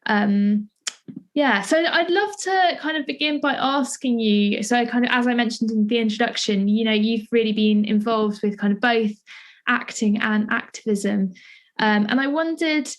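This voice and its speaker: A woman's voice: fairly high pitch, moderate pace. A woman speaking as a podcast host and interviewer. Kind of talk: scripted introduction, then conversational interview.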